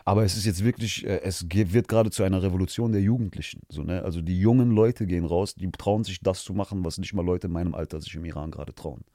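A man's voice: low-pitched, fast, few pauses, warm tone, no echo, clean studio sound. A man from Germany, a podcast guest, talking candidly and heatedly and swearing freely. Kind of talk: candid conversational interview.

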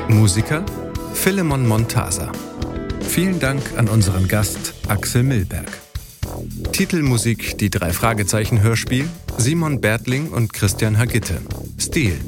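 Low-voiced male announcer over loud guitar music. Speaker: announcer reading closing credits. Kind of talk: scripted credits announcement.

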